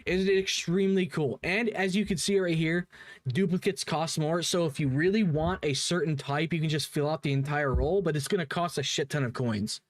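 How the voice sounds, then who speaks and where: A man's voice: a little high, normal speed, dry recording. A man giving casual live commentary as a streamer, informal and emphatically repeating himself. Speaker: streamer reacting to a game video.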